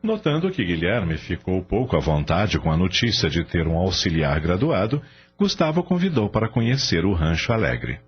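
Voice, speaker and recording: A man's voice: deep voice, sing-song, moderate pace, breathy edge. A man narrating a scripted radio drama as a storyteller. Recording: dull audio with faint hum.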